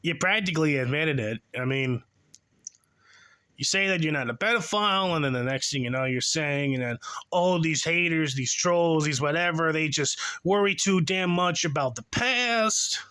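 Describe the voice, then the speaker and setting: A young man in his twenties talks over a clip in a sarcastic, exasperated way, with a voice pitched a little above most men's. He mocks the clip's speaker in a drawn-out, ridiculing commentary. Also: expressive, pushed and bright, clear, resonant tone. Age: 20s. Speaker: reaction video host.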